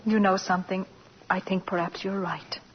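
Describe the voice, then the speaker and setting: A woman playing a gentle, thoughtful mother in a 1940s radio drama. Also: mid-range voice, level tone, normal speed, lightly acted. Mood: tender. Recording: noisy, muffled 1940s radio broadcast.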